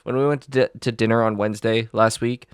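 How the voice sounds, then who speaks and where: A young man from Maine with a Maine accent, co-hosting a casual chat podcast with excitable, laughing, animated delivery. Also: fairly low pitch, brisk, clean tone, no room sound, clean studio sound. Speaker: podcast co-host.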